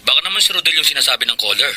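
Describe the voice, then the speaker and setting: A man's voice: medium pitch, expressive, racing, heavy background noise, natural voice. A man playing a suspicious, jealous young boyfriend in a Filipino radio melodrama, accusing and insistent, with a Filipino accent.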